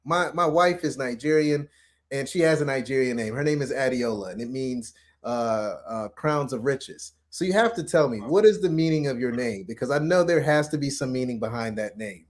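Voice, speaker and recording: A man's voice: medium pitch, normal speed. A man hosting a casual, upbeat interview, effusive and informal in the way he talks to his guest. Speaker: podcast host interviewing a guest.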